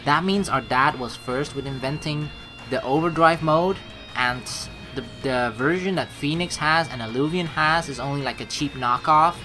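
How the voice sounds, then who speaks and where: A woman playing through a game, reading the story aloud and reacting excitably with casual swearing. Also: low voice, moderate pace, soft background music. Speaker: let's play streamer reading a visual novel aloud.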